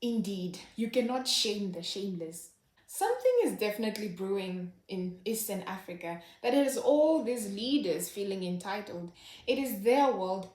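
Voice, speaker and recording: A woman's voice: medium pitch, a little mellow. Sardonic woman in an echoey room. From Zimbabwe. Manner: sardonic, wry. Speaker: host. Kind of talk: scripted satirical commentary.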